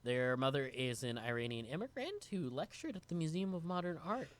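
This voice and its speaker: A man's voice: on the high side, a little fast. Laid-back male podcast co-host, casual, filler-heavy bio read. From United States (raised in New York). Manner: relaxed, rambling, many "like" fillers. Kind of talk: casual chat, reading guest bio.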